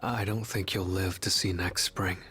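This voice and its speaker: An actor playing a gentle young harbinger of death in human form for a video game, speaking softly with grave, resigned, pleading delivery. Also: male voice, deep voice, steady tone, moderate pace, faint music behind. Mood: grave, apologetic. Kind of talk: English video game voice acting.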